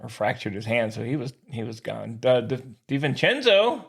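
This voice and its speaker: An American man bantering about sports as a podcast co-host. His delivery swings up and down a lot, with a creaky, gravelly edge. He is jokey and slangy, tossing in casual words like a buddy would. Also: medium pitch, sing-song, moderate pace, a bit bright. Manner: jokey, slangy, calls people "man", "dude", "baby".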